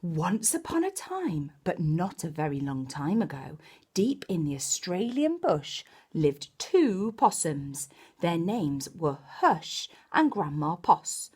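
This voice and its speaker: A woman reading a picture book to children like a primary teacher, expressive and exclaiming, with chatty asides. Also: fairly low pitch, slow, slightly rough. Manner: expressive, exclaiming, with gasps and hushed dramatic moments.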